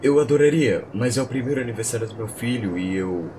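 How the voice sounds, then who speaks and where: A man's voice: mid-range voice, expressive, fairly quick, darkish tone, lightly acted. A man with a Brazilian accent playing an earnest, uneasy father looking for guard work in a fan-dubbed film. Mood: nervous, hopeful. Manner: hesitant, earnest. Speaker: voice actor in a fan dub. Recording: clear ambient noise behind.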